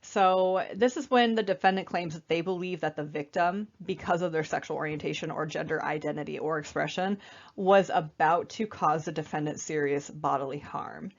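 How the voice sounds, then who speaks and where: Young woman, slightly raspy, casual student presenter, lowish voice, dull recording. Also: normal speed. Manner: casual, conversational, frequent fillers. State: raspy, recovering from illness.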